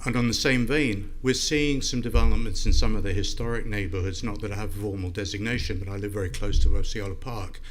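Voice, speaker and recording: A man addressing a meeting as a resident in public comment, speaking politely and hesitantly with pauses. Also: on the low side, fairly even intonation. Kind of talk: informal prepared public comment at a city meeting. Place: indoor city commission meeting room. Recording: noisy meeting-room microphone recording.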